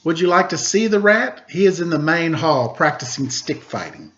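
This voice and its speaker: A man's voice: fairly high pitch, very animated, normal speed, a bit bright, lo-fi sound. A man voicing a proud, impatient feudal lord in a storybook tale, haughty and emphatic. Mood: incredulous.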